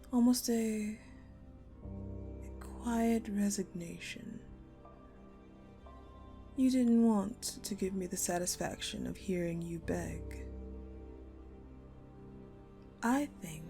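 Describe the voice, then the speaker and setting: Woman acting a slow, sadistic ice-queen general. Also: fairly low pitch, mellow. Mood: probing, condescending. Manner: deliberate, condescending, mocking, with dramatic pauses. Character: sadistic, coldly menacing ice-queen general, commanding and mockingly sweet. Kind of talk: dark audio roleplay acting, addressed to the listener.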